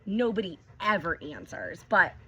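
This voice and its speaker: A woman teacher reading a picture book aloud to young children, expressive, stopping to ask them questions. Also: lowish voice, normal speed, slightly rough, lo-fi sound.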